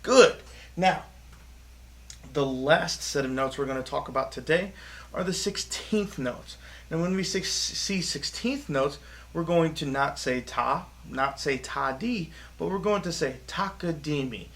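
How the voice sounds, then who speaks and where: Upbeat music teacher with a gravelly voice. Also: male voice, fairly high pitch, unhurried, fairly bright tone. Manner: upbeat, chatty, with call-and-response clapping chants. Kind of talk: classroom lesson to children.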